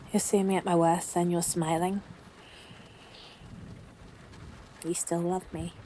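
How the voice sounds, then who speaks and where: A woman plays a smitten, under-the-weather sweetheart in an intimate audio roleplay. Her voice is soft-edged and mellow, on the low side, and she speaks slowly. She is grumpy but tender, flustered and gushing as she confides in her partner. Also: slight background noise, close to an everyday voice. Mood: tender, sheepish. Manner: flustered, gushing, halting, sighing and giggly.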